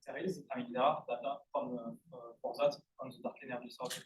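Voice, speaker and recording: Audience guy chiming in, lots of uh and I mean, really monotone. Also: mid-range voice, fairly quick, low-quality recording. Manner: hesitant, many fillers. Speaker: audience member.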